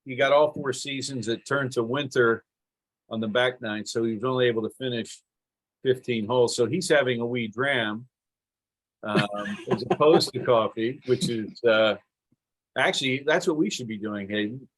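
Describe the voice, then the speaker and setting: A man hosting a casual podcast interview, relaxed and conversational as he asks questions. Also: mid-range voice, moderate pace, rough recording. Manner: relaxed and conversational, with fillers and restarts. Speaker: podcast host.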